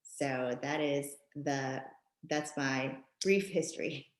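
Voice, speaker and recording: A middle-aged woman from Japan, talking thoughtfully in an interview with frequent pauses and ums. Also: a bass voice. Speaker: guest author and mother. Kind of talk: conversational interview. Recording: echoey room.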